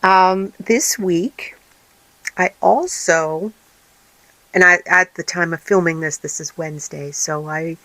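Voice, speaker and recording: A woman hosting a casual vlog, her voice raspy and tired, rambling with many fillers and restarts. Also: lowish voice, slow, sharp tone, dry recording, low-quality recording. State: tired, recovering from illness. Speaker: vlog host and small craft business owner. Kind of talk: casual chatty vlog update.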